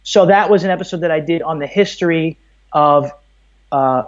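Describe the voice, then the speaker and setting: A man's voice: on the high side, moderate pace. American guy on a podcast, explaining stuff casually, slightly muffled lo-fi sound. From Brooklyn, New York, USA. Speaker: podcast guest, audio producer. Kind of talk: casual interview conversation. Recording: slightly dull, muffled audio.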